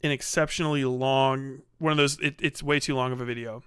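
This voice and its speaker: A man's voice: mid-range voice, steady tone, fairly quick, fairly bright tone, smooth, no room sound, studio quality. A man hosting a casual livestream reaction, talking bluntly and swearing freely. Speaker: livestream host.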